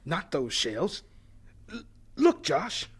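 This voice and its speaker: An actor playing a gentle, devout older professor in a radio drama, with a thin, breathy voice and a stammering, reverent manner. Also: male voice, fairly high pitch, huge pitch swings, exaggerated voice. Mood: dazed, halting. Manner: halting, stammering, slow and reverent.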